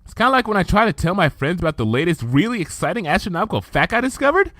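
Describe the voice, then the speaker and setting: A man's voice: sits high, big pitch swings, quick pace, a bit bright, no echo, voice pushed up. An eager, chattering young unicorn mare from a fan-made storybook, as a man reading aloud puts her on, bookish and earnest. The voice sits far above his usual one and bounces across a very wide range. The sound is dry and close. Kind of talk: fan fiction audiobook reading.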